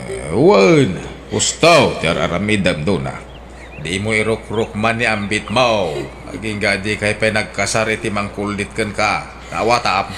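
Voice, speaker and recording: An actor playing an older father who gently advises his grown child. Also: on the low side, animated, a little slow, background noise. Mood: gentle. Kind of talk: radio drama acting.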